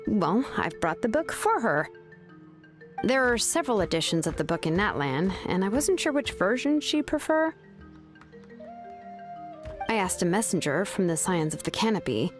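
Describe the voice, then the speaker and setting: A woman's voice: medium pitch, moderate pace, lightly acted. A woman voicing an easygoing young craftswoman. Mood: friendly. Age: young adult. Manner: relaxed, casual, affectionate. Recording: game audio with faint music behind. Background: soft game music.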